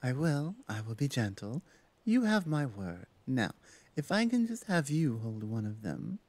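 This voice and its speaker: A woman voices a confident orc shaman who works magic in a fantasy ASMR drama. The tone is mellow and dark, with a slow, measured delivery. The sound is dry and close. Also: a bass voice, very animated, no room sound, heavily acted. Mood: assured, coaxing. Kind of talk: ASMR roleplay audio drama.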